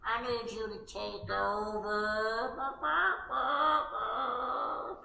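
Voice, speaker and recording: A man voicing a weary old rooster father in a children's story, breaking drawn-out phrases with clucks. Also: very high-pitched, fairly even intonation, vocal fry, pushed and bright, reverberant, voice pushed up, full character voice, rough recording. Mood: weary clucking. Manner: clucking bah sounds punctuating drawn-out phrases.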